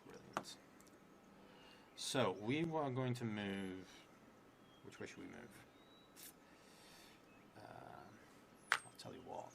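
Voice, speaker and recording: Low-voiced man, noisy stream recording. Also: huge pitch swings, extremely slow, pauses often. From outside England. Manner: hesitant, muttering to himself, thinking aloud. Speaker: solo tabletop roleplay streamer. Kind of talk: live solo game narration, reading prompts aloud with casual asides. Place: indoor room in a theatre, seated at a table. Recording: clearly noisy live stream room.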